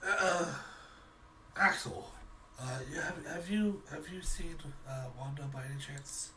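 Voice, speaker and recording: A man's voice: a little high, unhurried, sharp tone. A worried older father played by a man in a tabletop game. He is polite and hesitant, stammering over his words and repeating himself. Faint noise sits behind him.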